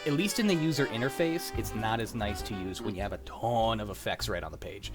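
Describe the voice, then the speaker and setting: A man's voice: on the low side, brisk, music in the background. A young man hosting a livestream, chatting casually in a rambling, enthusiastic way. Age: young adult. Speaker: host running a livestreamed script-reading show. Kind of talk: casual group chat.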